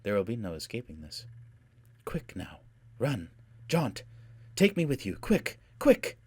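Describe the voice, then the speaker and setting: A man voicing a haughty, rapturous young noblewoman in an audiobook, with a soft, husky voice and a languid, taunting delivery. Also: low voice, dry recording. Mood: urgent. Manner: theatrical, languid, taunting, with drawn-out words.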